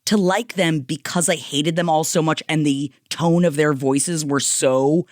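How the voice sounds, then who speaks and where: A woman with a deeper voice co-hosting a podcast, animated, crude and sarcastic, on a close mic. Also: measured pace, dry recording. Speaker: podcast co-host. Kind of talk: casual podcast chat.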